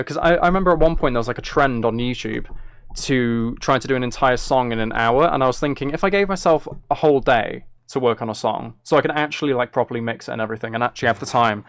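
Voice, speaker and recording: A man's voice: mid-range voice, smooth, no room sound. A British man thinking aloud in a rambling, casual way, like a streamer chatting while he works on music. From Britain. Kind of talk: casual chat while making music on a livestream. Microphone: streaming microphone, close.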